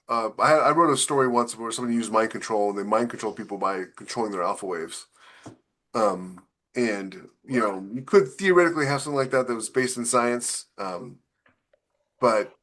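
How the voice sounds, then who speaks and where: A man's voice: mid-range voice, fairly bright tone. A man co-hosting a podcast, explaining things casually and with opinionated emphasis. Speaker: podcast co-host.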